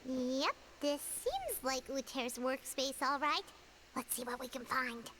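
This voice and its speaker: A woman voicing a small, excitable, skittish childlike fairy companion in a video game, who speaks of herself in the third person. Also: unhurried, background noise. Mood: curious. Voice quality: squeaky, childlike. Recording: game music behind the voice.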